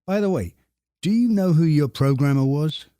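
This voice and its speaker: A man speaking as a podcast co-host in a measured, deadpan and slightly formal conversational manner. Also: fairly high pitch, clean tone, no room sound, studio quality.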